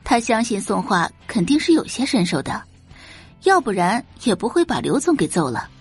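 A woman's voice: mid-range voice, very animated, normal speed, husky, soft background music. A woman reading scripted, dramatic audiobook narration as the narrator of a story.

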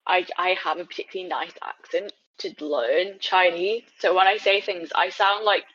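A woman's voice: on the low side, normal speed, fairly bright tone, slight background noise. Wry British young woman, lo-fi sound. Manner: wry, self-deprecating. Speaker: podcast guest. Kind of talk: casual chat. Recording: dull lo-fi recording.

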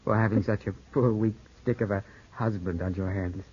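An actor playing an earnest, anxious young English gentleman weakened by illness, in formal 1950s radio drama style on an old broadcast. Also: lowish voice, steady tone, moderate pace, breathy edge. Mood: weak, rueful. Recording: muffled 1950s radio broadcast, music behind.

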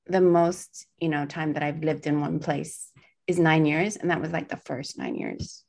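A woman with a soothing voice that sits a little low in pitch. She chats casually as a podcast co-host. She wanders through her thoughts with fillers and restarts. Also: very animated, moderate pace, gravelly, darkish tone. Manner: meandering, self-correcting sentences with many fillers. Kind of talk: casual conversation.

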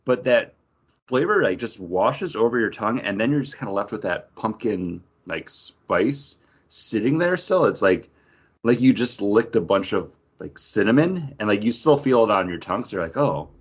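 A man's voice: on the low side, breathy edge, highs cut off. A man chatting casually and jokingly as a podcast co-host, talking enthusiastically through what he is tasting. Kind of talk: casual chat, informal beer tasting.

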